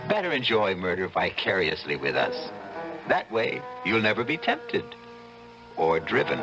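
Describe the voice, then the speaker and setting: A man hosting an old-time radio drama, giving scripted narration in a measured, ominous, theatrical way. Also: lowish voice, gravelly, low-quality recording. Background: music.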